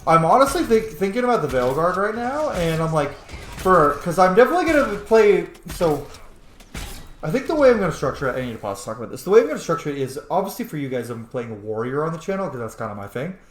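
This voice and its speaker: Excitable man with lively, swooping pitch. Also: quick pace. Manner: excitable, informal, swearing. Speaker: let's play host. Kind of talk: casual gameplay commentary to viewers. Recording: roomy echo, faint background noise.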